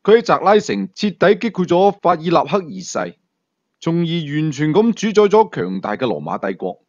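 Animated Cantonese male storyteller, slightly muffled. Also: fairly high pitch, moderate pace. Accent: Hong Kong Cantonese. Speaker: audiobook narrator. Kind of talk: scripted suspense story narration.

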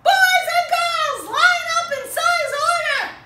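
A brash little princess puppet, very loud and extremely high, with a bright, piercing edge. She is bossy and insistent, in a children's puppet show with a roomy sound. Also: slow pace, exaggerated voice. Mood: brash, confident. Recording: roomy, distant mic, faint noise.

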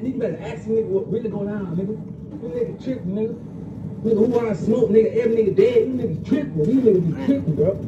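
An American man on a confrontational, repetitive rant in heavy slang, swearing constantly. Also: very high voice. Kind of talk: profane informal ranting. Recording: muffled, very noisy, echoey, distant playback. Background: loud background noise.

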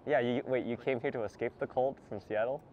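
A man's voice: medium pitch, steady tone, quick pace, background noise. A young man from the United States talking casually with a stranger in a mall clothing store, hesitant, repeating words and laughing nervously. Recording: slightly dull audio with faint music.

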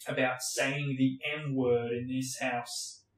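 A man voicing a blustering, bad-tempered middle-aged uncle, slow and bellowing, in a roomy space. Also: medium pitch, little pitch movement, natural voice. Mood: angry. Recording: roomy echo.

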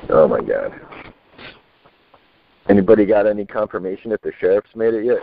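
A man's voice: on the low side, sing-song, fairly quick, vocal fry, dry recording. A man talking casually and bluntly on a group conference call over the phone. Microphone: telephone. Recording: muffled tinny phone conference line.

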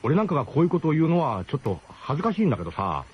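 A man playing an embarrassed camp cook in a radio drama, speaking casually in a halting, roundabout way. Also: on the high side, huge pitch swings, husky, pitched above the performer's own voice, full character voice. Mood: awkward, hesitant. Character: hesitant, embarrassed male camp cook, awkwardly diplomatic friend. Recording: dull, slightly noisy old radio broadcast.

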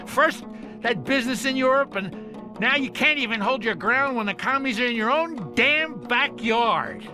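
A man playing a cantankerous old American veteran, gruff and scornful, scolding family. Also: very high-pitched, animated, moderate pace. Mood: scornful, bitter. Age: older. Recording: music behind.

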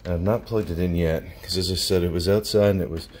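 A man's voice: sits low, steady tone, fast talker, a little mellow. An American man giving casual, folksy vlog commentary as the host of his own video. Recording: clear background noise.

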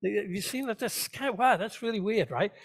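A man's voice: high voice, fairly quick. A man preaching a sermon as a pastor, teaching conversationally and checking in with his listeners. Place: church, speaking to a congregation.

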